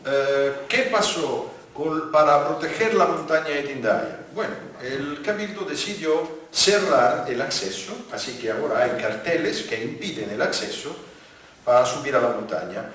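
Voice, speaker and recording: A man from Italy with a light Italian accent, giving a public lecture with slides, talking haltingly with many fillers and restarts. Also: fairly high pitch, expressive, fairly bright tone, a little hiss or hum. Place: large conference hall. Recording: very echoey hall, voice from far off.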